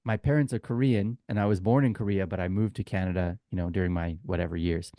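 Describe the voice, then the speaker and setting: Low-voiced man chatting in a dry, close recording. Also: fairly even intonation, fast talker, warm tone, no echo. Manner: chatty, informal storyteller who acts out quoted exchanges. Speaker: podcast co-host and tour guide. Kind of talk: casual sports podcast chat, telling anecdotes.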